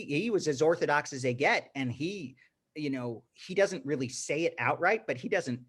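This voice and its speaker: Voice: mid-range voice, husky. A teacher with an emphatic manner and many restarts, giving an informal lecture to a class over a video call. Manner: animated, emphatic, frequent restarts. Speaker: teacher leading a congregation class.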